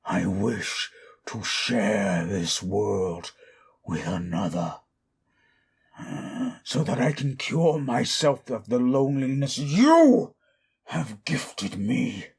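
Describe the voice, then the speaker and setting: Casting: a wrathful, lonely undead creature for audio drama; husky voice, slow and theatrical delivery, formal speech. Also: male voice, fairly low pitch, sing-song, darkish tone, heavily acted. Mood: weary longing. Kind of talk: dramatic audio roleplay monologue.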